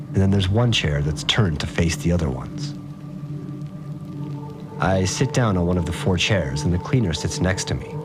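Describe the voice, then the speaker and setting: Deep rattled survivor dude, slangy sweary audio diary, rambling and animated, music behind, podcast drama. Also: male voice. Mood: intrigued, uneasy. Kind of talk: casual confessional audio-diary monologue.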